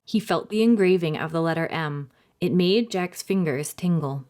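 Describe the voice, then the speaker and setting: A woman's voice: fairly low pitch, normal speed, a little mellow. A woman hosting a children's storytime, narrating expressively and addressing young listeners directly. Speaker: library storytime host and reader.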